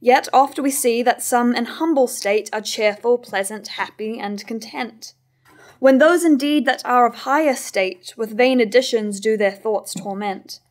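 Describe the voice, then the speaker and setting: Young woman as moralising chorus, fairly high voice. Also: lightly acted. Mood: sage, disapproving. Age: young adult. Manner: measured recitation. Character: moralising tragic chorus, sage and disapproving. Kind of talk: staged verse reading of Jacobean tragedy. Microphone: stand microphone at lectern.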